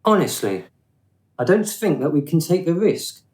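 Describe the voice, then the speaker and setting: A man's voice: fairly high pitch, very animated, moderate pace, darkish tone. A man playing a smug, officious afterlife bureaucrat in a radio play, condescending and drily mocking.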